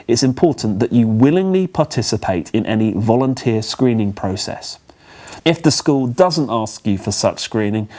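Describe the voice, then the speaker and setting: A man gives scripted instructional narration in a measured, clearly phrased, instructive manner. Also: on the low side, warm tone. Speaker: training film presenter.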